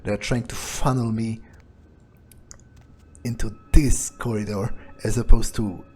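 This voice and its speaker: A man's voice: on the low side, steady tone, slow pace, a little mellow, a little hiss or hum. A man role-playing a cocky, foul-mouthed fledgling vampire in improvised video game commentary, muttering hesitantly and swearing casually. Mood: baffled, alarmed. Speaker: game streamer role-playing his character. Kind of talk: in-character improvised gameplay commentary.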